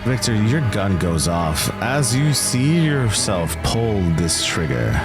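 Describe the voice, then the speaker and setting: A man working as game master, narrating tabletop roleplay scenes deliberately to the players. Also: fairly low pitch, big pitch swings. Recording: music under the voice.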